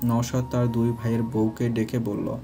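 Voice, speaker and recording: Mellow, even-toned male story narrator. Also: mid-range voice, moderate pace. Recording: faint music behind.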